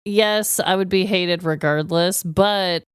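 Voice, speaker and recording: An American woman co-hosting a podcast, chatting casually and comedically in an expressive, exclamatory, casually profane way. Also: on the low side, slow pace, smooth, no echo, clean studio sound. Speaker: podcast co-host.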